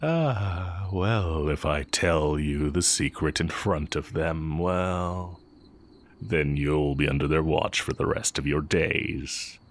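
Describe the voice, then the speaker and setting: A man acting as a sly, coolly teasing heretic magic teacher in an intimate audio roleplay, musing and taunting with drawn-out pauses. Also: extremely low pitch, exaggerated voice. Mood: sly, menacing.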